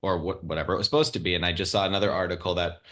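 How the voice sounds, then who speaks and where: A man's voice: sits low, steady tone, rapid-fire, a bit bright. A man hosting a casual interview, easygoing and affirming, asking loosely phrased questions. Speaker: podcast host, interviewer.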